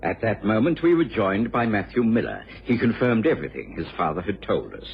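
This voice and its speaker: An actor playing a mild, loyal gentleman who narrates a detective radio drama with a measured, gently concerned manner. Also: on the low side, very animated, vocal fry, a little hiss or hum. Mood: reflective narration. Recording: muffled old radio drama recording.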